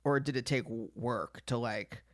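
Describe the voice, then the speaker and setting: A man's voice: mid-range voice, normal speed. A man hosting a podcast, asking curious, loosely phrased questions and giving short replies while his guest talks. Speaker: podcast host. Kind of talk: casual interview.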